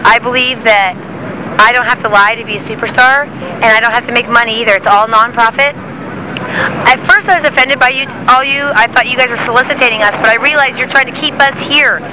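A woman's voice: a little high, fairly even intonation, fairly quick. A woman from northern California giving a casual sidewalk interview, rambling and emphatic with an indignant edge. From northern California, United States. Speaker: street interviewee. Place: city sidewalk outdoors. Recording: outdoor street recording with clear traffic noise.

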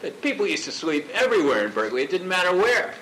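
A man giving an impassioned speech at a public gathering, loud and declaiming, talking without a microphone. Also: high voice, very animated, fast, slight background noise, rough recording. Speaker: activist speaker at a rally. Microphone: off-mic, picked up from a distance.

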